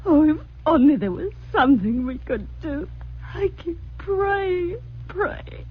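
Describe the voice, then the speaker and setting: An actress playing a devout, fretful immigrant woman, tearful and halting, in a radio drama. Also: sits high, sing-song, exaggerated voice. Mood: anxious, prayerful. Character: anguished, devout Eastern European immigrant woman. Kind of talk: 1940s-50s radio drama acting. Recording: muffled, noisy old radio recording.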